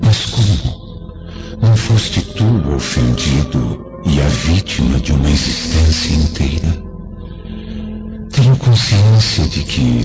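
Very deep, gravelly, solemn spirit. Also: male voice, heavily acted. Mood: grave, reproving. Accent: Brazilian. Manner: slow, grave, pleading, preacher-like delivery. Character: solemn male spirit of an old friend, compassionate, exhorting. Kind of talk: Brazilian religious radio drama acting. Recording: dull lo-fi audio, loud music behind. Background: dramatic music.

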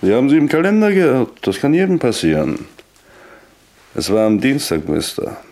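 An actor playing a menacing, falsely polite gangster in a 1960s German radio play, mocking and threatening. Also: male voice, medium pitch, wildly swooping pitch, normal speed, darkish tone. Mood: cold menace. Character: menacing, sneering male gangster intimidating a shopkeeper.

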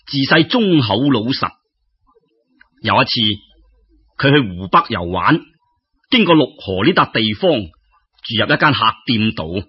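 A man telling folk tales as an expressive storyteller, in a conversational way that addresses listeners directly. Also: mid-range voice, slightly rough, lo-fi sound.

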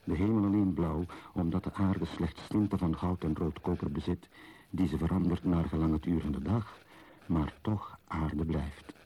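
An actor playing a contemplative archaeologist in a radio play, speaking a husky, measured and solemn literary monologue. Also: male voice, low-pitched, mellow, slight background noise, low-quality recording. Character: contemplative male archaeologist tenderly unwrapping an Egyptian mummy.

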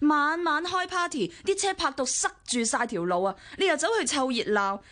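An actress in a Cantonese radio drama playing a nagging, sarcastic married older sister with a Hong Kong accent and a scornful, grumbling manner. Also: female voice, high voice, lively intonation, normal speed. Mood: scornful, scolding. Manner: sharp-tongued, scornful, grumbling.

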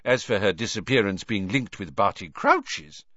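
A man's voice: on the low side, animated, normal speed, bright, forceful tone, dry recording, lo-fi sound. A man voicing a pompous, fussy, impatient older government minister in an audiobook. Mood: dismissive. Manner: blustering, emphatic, pompous. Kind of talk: audiobook character acting.

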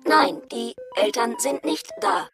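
A robot governess in a children's radio play, high and creaky. She is formal and stiffly official, yet her pitch swings widely, and music plays behind her. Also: sing-song, slow pace, full character voice. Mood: formal, impassive.

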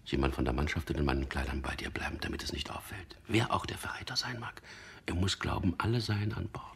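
A very deep, husky and creaky male voice plays a resolute man on a secret mission in a radio drama. He recounts things slowly to himself, introspective and grim, often sinking toward a whisper. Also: animated, exaggerated voice. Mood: reassuring, resolute. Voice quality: gravelly, husky, hushed. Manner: deliberate, introspective, recounting events. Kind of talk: German radio drama, first-person narration and dialogue.

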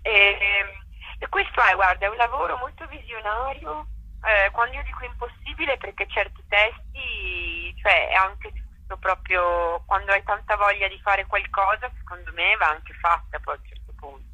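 A woman's voice: mid-range voice, moderate pace, sharp tone, breathy edge, no echo, lo-fi sound. A woman talking casually and effusively in an interview as a guest, searching for words and checking she is followed. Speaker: guest, actress presenting a stage show. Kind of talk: casual spontaneous interview talk.

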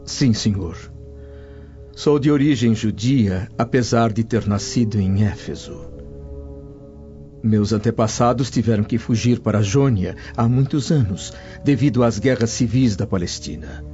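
An actor playing a humble, dignified and sorrowful slave in a radio drama, speaking gravely and deferentially. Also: male voice, lowish voice, warm tone, natural voice. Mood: humble, composed. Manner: deferential, grave, measured storytelling. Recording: dull audio over music bed.

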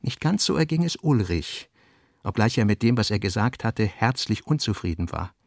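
A man narrating a literary radio drama in a measured, deliberate way. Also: lowish voice, very animated, creaky, a little mellow, dry recording, studio quality. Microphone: dry studio mic.

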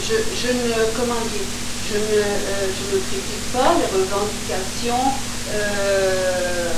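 A woman from the north of France speaking from the audience at a public talk, halting and hesitant with many fillers and restarts. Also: fairly high pitch. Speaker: audience member. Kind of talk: question from the floor at a public talk. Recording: echoey room, distant mic, hiss.

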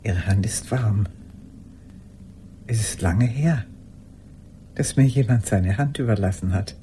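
An actress playing an old woman haunted by a lost love, speaking in a halting, intimate radio drama monologue. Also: very deep voice, mellow, slight background noise. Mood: haunted, hushed. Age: older. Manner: halting, intimate, sometimes dropping to a whisper.

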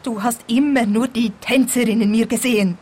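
A woman's voice: on the high side, fairly even intonation, moderate pace, a little mellow, slightly rough. A woman playing a lovelorn, wounded dancer in a radio play, speaking in an earnest, emotional way. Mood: confessing, hurt.

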